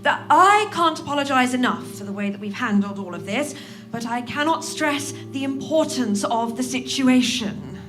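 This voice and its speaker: An actress playing a composed, diplomatic interviewer from a secretive organization in a horror audio drama, measured and formal. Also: female voice, sits high, lively intonation. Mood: placating. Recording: background music under the voice.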